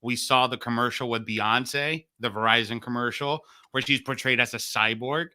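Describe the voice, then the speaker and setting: A man's voice: mid-range voice, steady tone, moderate pace, sharp tone, clean tone. A man on a podcast panel, giving his opinion in casual discussion.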